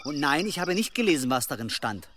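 An actor playing a devout but evasive young monk, curt and earnest, in a radio drama. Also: male voice, fairly high pitch, sing-song, fairly quick, music in the background. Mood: defiant. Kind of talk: German radio drama acting.

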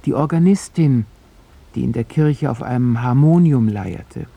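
A man's voice: medium pitch, a little slow, creaky, husky, no echo, muffled recording. A man from Poland reciting a literary, wistful account of his childhood in a solemn, poetic manner. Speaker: narrator recalling childhood.

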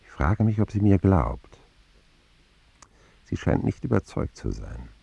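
A man's voice: deep voice, very animated, a little slow, gravelly, warm tone, breathy edge, dry recording, muffled recording, exaggerated voice. An actor playing a wry, reflective man who narrates his boyhood in an intimate radio drama style. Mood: wry, watchful.

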